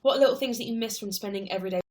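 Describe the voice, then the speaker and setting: Twenty-something woman rambling through chat questions on a livestream, mid-pitched voice. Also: rapid-fire, darkish tone. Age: 20s. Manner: chatty and rambling, reads viewer questions aloud. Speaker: livestream host answering viewer questions. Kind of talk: casual live chat.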